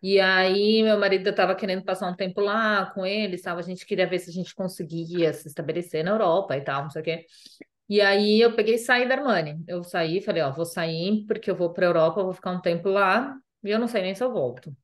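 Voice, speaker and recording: A woman's voice: medium pitch, a little fast. A woman from Brazil with a Brazilian Portuguese accent, chatting casually as an interview guest, full of fillers and repeated phrases.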